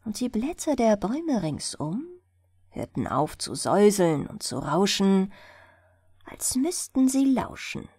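A woman's voice: mid-range voice, unhurried, slightly rough, dry recording. A woman reading a bedtime fairy tale expressively as an audiobook narrator. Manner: expressive storytelling.

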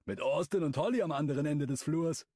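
A man's voice: a little high, a little fast, mellow, no echo, studio quality, voice pushed up, close to an everyday voice. A man voicing a cocky, joking young man who teases his friends in an audiobook. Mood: teasing. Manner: mocking, playful.

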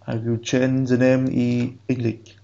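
A man whose first language is Vietnamese, giving halting step-by-step instructions in a screen-recorded software tutorial. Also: medium pitch, monotone, vocal fry, lo-fi sound. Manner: slow, halting step-by-step instructions in non-native English. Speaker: programming instructor.

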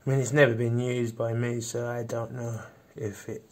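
A man's voice: medium pitch, level tone, normal speed. A man in his thirties walking around a rural property, filming on a phone and chatting casually as he points things out. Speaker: vlogger giving a property tour. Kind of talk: casual walkthrough narration. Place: outdoors on rural land, then inside a farmhouse. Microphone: phone camera held at arm's length.

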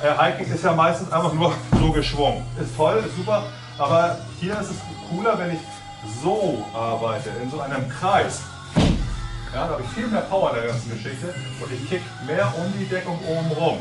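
A middle-aged man coaches a kickboxing session, brisk and full of jokes, calling out combinations and counts. His fairly high voice carries across a big echoing gym, picked up from far off by a camera. Loud music plays behind him. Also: lively intonation. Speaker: kickboxing trainer. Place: large indoor gym.

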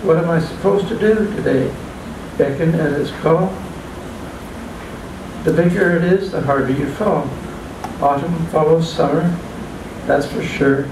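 An older man reading his own poems at an open mic in a small restaurant, halting and plain, stumbling and restarting now and then. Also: a little high. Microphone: stand mic, filmed from a far camera. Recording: echoey room, distant mic, background hum.

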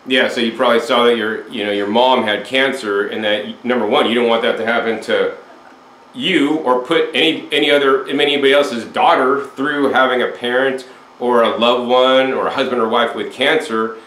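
A man's voice: mid-range voice, fairly even intonation, moderate pace, fairly bright tone. Talkative male host in an echoey room. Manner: talkative, explains at length. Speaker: interviewer, show host. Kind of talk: casual interview. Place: home room with window, indoors. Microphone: lapel mic.

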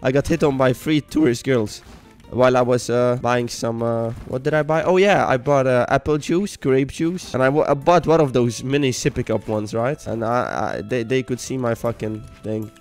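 Young male streamer, Hungarian accent, mid-pitched, loose rambling chat with sudden yells, soft music behind. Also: moderate pace, hardly any pauses. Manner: rambling, joking, reads chat messages aloud, sudden excited yells. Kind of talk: casual gaming livestream chat. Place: home room.

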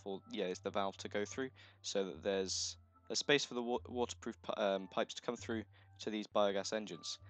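A man with a croaky, just-woken voice, chatting casually through a gameplay video, thinking aloud and correcting himself as he goes. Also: lowish voice. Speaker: let's play host.

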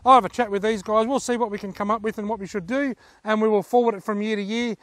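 High-pitched, enthusiastic Australian man. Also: steady tone, quick pace, dry recording. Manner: effusive, enthusiastic. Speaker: fishing vlogger, host. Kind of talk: casual vlog talk to camera. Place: outdoors on a dirt track in bushland.

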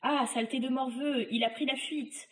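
A woman's voice: steady tone, moderate pace, natural voice, rough recording. A woman voicing a nasty, spiteful old witch who exclaims and scolds in a storybook tale. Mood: furious. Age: older. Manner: shrill, exclaiming, scolding and cursing.

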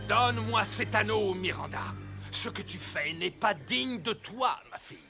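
Bossy old cartoon wizard dad, creaky n high, scolding, loud music behind. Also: male voice, expressive, unhurried, heavily acted. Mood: commanding. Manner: imperious, scolding. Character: stern elderly magician, controlling father of grown daughter. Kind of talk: French cartoon dub acting.

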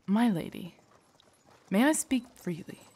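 A woman playing a loyal, protective female knight in an audio drama, formal, deferential and earnest, with a husky voice. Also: medium pitch, animated, unhurried, warm tone. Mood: earnest, comforting. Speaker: voice actor in audio roleplay. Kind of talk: acted immersive audio drama monologue.

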